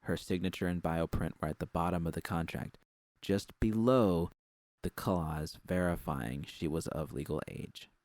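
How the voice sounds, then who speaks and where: A man's voice: low voice, a little slow, darkish tone, no room sound. A man reading a scripted first-person story as narrator.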